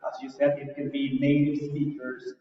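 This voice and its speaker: A man's voice: medium pitch, monotone, a little hiss or hum. Man interviewing in an echoey hall. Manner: upbeat, halting, many fillers. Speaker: interviewer, teacher. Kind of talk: conference interview. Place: conference venue. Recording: echoey hall, distant mic, muffled.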